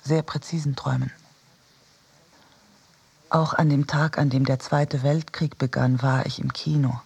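Reflective radio-drama narrator: very husky, creaky, raised register, deliberate and quiet delivery. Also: male voice, mid-range voice, flat delivery, exaggerated voice. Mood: sober. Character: reflective narrator recalling childhood, cinema and wartime loss. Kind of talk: literary first-person narration for radio drama.

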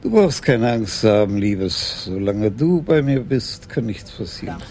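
An actor playing a gentle older police commissioner and tender husband in a radio crime drama, speaking in a measured, reassuring way. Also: male voice, lowish voice, lively intonation, a little hiss or hum. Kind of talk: German radio play acting, intimate dialogue.

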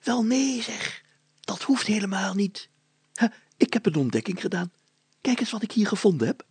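A man voicing an excitable, chattering talking fly in a children's story. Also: sits high, normal speed, vocal fry, breathy edge, no room sound, voice pushed up, heavily acted. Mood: excited, chirpy. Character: chatty, fretful talking bluebottle fly, easily excited companion.

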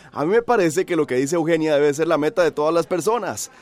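An actor in a radio drama playing a frail, thoughtful villager troubled by coughing. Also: male voice, fairly high pitch, a little fast, darkish tone, lightly acted. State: coughing fits. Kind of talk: Spanish-language radio drama acting.

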